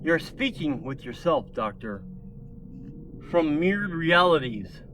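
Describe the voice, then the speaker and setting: A man voicing a grave male synthetic assistant in a science fiction story, giving measured warnings. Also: fairly high pitch, big pitch swings, fairly bright tone, slight background noise, pitched above the performer's own voice, full character voice.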